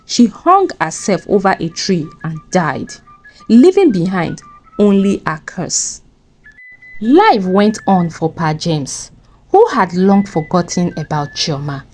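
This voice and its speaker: A woman's voice: mid-range voice, a little mellow, rough recording. A woman with a Nigerian accent narrating a scripted folktale as a storyteller.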